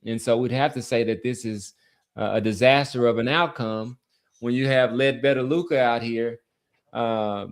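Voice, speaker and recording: An argumentative man with a medium-pitched voice, talking sports as a podcast host. He keeps it casual and conversational and readily interjects to push back.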